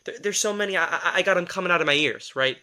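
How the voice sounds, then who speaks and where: A man's voice: high voice, quick pace, bright, forceful tone. A man acting in an audio drama as a sardonic, exasperated assistant who reads minds, talking in a wry, defensive, rambling conversational way. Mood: defensive, agitated. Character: sardonic, exasperated male telepath, former personal assistant to a writer.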